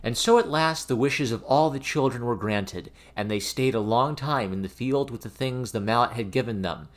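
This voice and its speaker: A man reading a fairy tale aloud as an expressive, theatrical storybook narration. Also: mid-range voice, moderate pace. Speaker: storyteller, reader of fairy tales.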